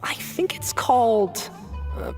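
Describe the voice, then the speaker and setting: A woman's voice: fairly high pitch, very animated, slow, a little mellow, big-room sound, soft background music. A woman playing a friendly, awkward, easygoing young agent in a video game, chatty and hesitant. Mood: eager.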